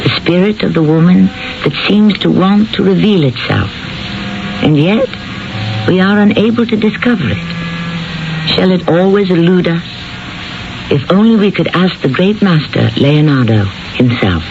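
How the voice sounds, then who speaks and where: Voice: mid-range voice, expressive, normal speed, gravelly. A host delivering dramatic scripted narration for a radio mystery play. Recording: dull vintage radio broadcast with music.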